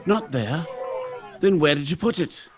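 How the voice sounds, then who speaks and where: A man's voice: fairly high pitch, expressive, measured pace, a little hiss or hum. A man playing a troubled, grave factory director and husband in a dramatic 1930s-style radio play. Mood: shocked despair.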